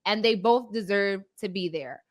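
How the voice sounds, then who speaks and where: A woman's voice: medium pitch, normal speed. A woman co-hosting a talk podcast, giving casual, opinionated commentary and repeating her points for emphasis. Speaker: podcast co-host.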